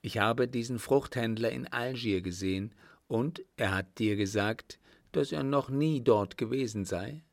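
A man voicing a suspicious younger desert man in an audiobook, with a deliberate, insistent and formal manner. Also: mid-range voice, no room sound, studio quality, lightly acted. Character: earnest, suspicious younger desert man advising his elder.

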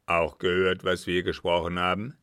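A man voicing a stern, suspicious army captain in an audiobook, gruff, haughty and accusing. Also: low voice, little pitch movement, normal speed, fairly bright tone, no room sound, clean studio sound. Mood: angry, accusing. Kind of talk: audiobook character voice.

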